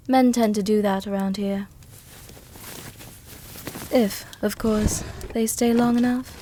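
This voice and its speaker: Woman acting a slow, seductive vampire countess. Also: medium pitch, noisy background. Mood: wry, proud. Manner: slow, intimate, formal diction with breathy whispers. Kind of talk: soft-dom roleplay ASMR.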